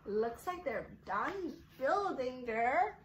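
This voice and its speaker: Very high-voiced woman, children's story time. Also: sing-song, slow, slight background noise. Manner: simple child-directed phrasing, talking directly to young listeners. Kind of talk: sing-song read-aloud of a picture book for young children.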